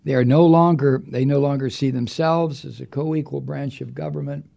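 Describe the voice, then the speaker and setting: A man's voice: mid-range voice, fairly even intonation, normal speed, gravelly, warm tone. A man hosting a political podcast, delivering an angry, profane monologue with heavy emphasis. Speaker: podcast host.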